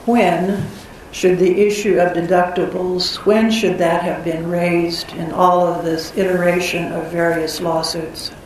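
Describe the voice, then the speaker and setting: A woman's voice: lowish voice, flat delivery, measured pace, few pauses, a little mellow, a little hiss or hum. A female appellate judge putting probing questions to counsel from the bench. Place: courtroom. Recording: distant, echoey courtroom audio.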